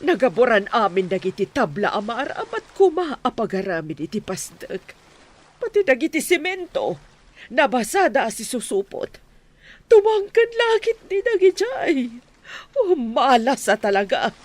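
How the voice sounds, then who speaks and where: High-pitched distressed woman. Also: big pitch swings, normal speed. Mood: dismayed. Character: distressed, fearful woman caught in a storm. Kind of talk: radio drama acting. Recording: faint storm-like background noise.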